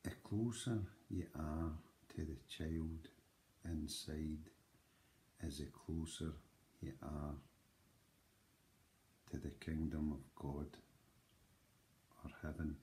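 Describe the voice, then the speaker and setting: A Scottish man in his forties speaks straight into a close phone or webcam in a small room, unhurried and earnest. His voice sits extremely low and dark, and he leans on each point with "right?". A faint hum of room noise sits underneath. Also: fairly even intonation. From Scotland. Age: 40s. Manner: slow, emphatic, with frequent "right?" asides. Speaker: channel host. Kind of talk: earnest motivational talk to camera.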